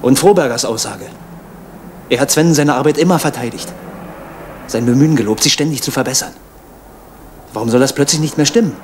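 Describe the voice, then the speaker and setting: A man's voice: medium pitch, a little fast, pauses often, slight background noise, lightly acted. An actor playing a probing, skeptical male police officer in a radio crime drama.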